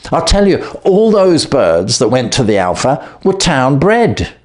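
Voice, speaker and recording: A man voicing a surly, cocksure Victorian London poultry salesman in a storybook reading, gruff and blustering. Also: medium pitch, big pitch swings, unhurried, voice pushed up. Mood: argumentative. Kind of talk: storybook character voice.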